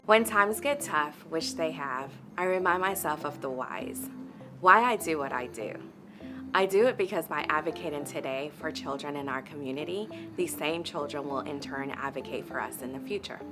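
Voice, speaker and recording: Deep-voiced woman speaking over music. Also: normal speed. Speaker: honoree, early learning executive. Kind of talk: prepared motivational statement.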